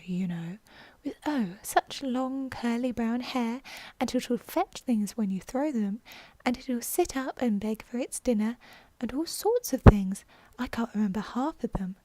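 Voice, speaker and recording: A woman's voice: a little high, dark tone, no room sound. A woman voicing a polite young girl from a storybook, eager and apologetic as she chatters.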